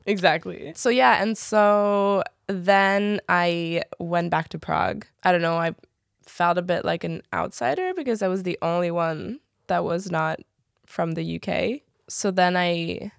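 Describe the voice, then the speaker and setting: Young actress, British-tinged English, low gravelly voice, chatty and filler-heavy, dry close sound. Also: female voice, no echo. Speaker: actor, guest. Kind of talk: casual interview chat.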